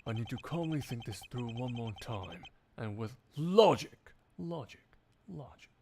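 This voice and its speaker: A man voicing a composed, analytical male prosecutor with a formal, deliberate manner in a game fandub. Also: mid-range voice, expressive, noisy background. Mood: brooding.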